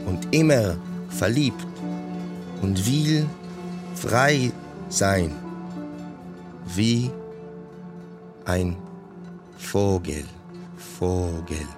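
A man's voice: deep voice, drawn-out words, long pauses, over music, heavily acted. A man playing a lovestruck dreamer longing for freedom, reciting poetically word by word in a radio play. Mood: dreamy, longing. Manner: lingering, word by word.

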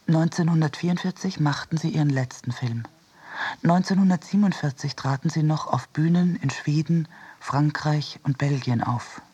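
A man reading a scripted literary essay for radio in a measured, deliberate manner. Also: fairly high pitch, dark tone, breathy edge. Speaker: reader in a radio production.

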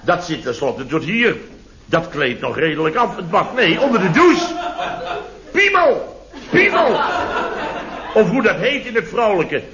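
Radio comedy boss: blustering, exclamatory, scornful, on a dull vintage recording with an audience behind. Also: male voice, sits high, big pitch swings, unhurried, pauses often, crowd noise, exaggerated voice. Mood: exasperated, amused. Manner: theatrical, exclamatory, peppered with rhetorical tags. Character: blustering, sarcastic, indignant contractor boss. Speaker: actor in a radio comedy serial. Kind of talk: late-1960s Dutch radio comedy acting.

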